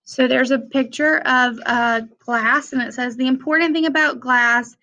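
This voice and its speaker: A young woman reads a children's picture book aloud in a fairly high, very bright voice. She takes it slowly, with simple, deliberate phrasing. The recording sounds a little dull and lo-fi. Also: steady tone. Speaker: read-aloud presenter for children.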